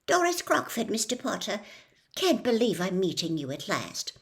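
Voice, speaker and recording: A woman's voice: medium pitch, wildly swooping pitch, moderate pace. A woman voicing a starstruck middle-aged woman who gushes breathlessly. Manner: breathless, gushing.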